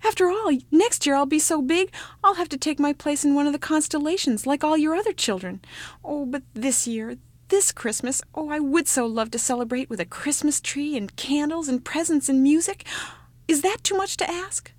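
Woman voicing a small, high-pitched star child; wheedling, wistful, pleading. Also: normal speed, no echo, close to an everyday voice. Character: small new-born star boy, whiny, pleading.

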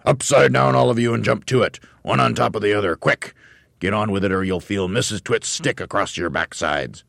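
A man's voice: lowish voice, a little fast, vocal fry, fairly bright tone, no echo, studio quality, voice pushed up. A man playing a cruel, bullying old man in a storybook, snarling and barking orders.

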